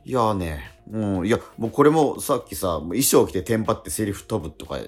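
A man co-hosting a radio show in casual chat, telling stories excitably with repeated emphatic words. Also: lowish voice, fairly quick, breathy edge. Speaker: radio show co-host and stage actor. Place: recorded radio programme.

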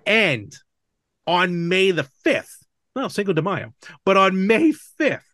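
A man hosting a casual chat podcast, talking in a halting, measured way with small asides and self-corrections. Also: sits high, sing-song, fairly bright tone. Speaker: podcast host. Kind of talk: casual chat about games and film.

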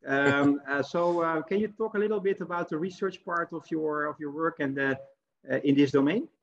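A man with a slightly higher voice hosts an interview, halting and filling pauses with many "uh"s as he restarts his questions. His voice comes through dull, lo-fi video-call audio. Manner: hesitant, many fillers and restarts. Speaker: interview host. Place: home in the Netherlands.

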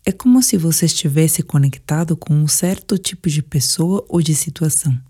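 A Brazilian woman narrating a guided sleep meditation in a calm, soothing, measured way, with soft, breathy phrase endings. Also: low-pitched.

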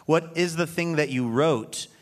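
A man's voice: fairly high pitch, measured pace. A man hosting a radio show, interviewing conversationally on a live stage. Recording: live theater with audience behind. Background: audience.

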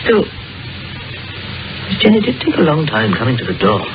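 An actor playing an imaginative, dryly amused small-town doctor who muses like a storyteller in an old-time radio drama. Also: male voice, fairly high pitch, huge pitch swings. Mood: speculative, storytelling. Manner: musing, storytelling, deliberate phrasing. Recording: muffled vintage radio recording with music.

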